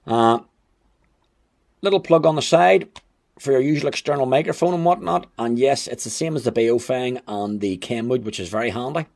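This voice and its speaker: A man's voice: mid-range voice, moderate pace, vocal fry, darkish tone. A man giving a casual, chatty and wry hands-on gadget review. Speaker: amateur radio gadget reviewer. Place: indoors at home.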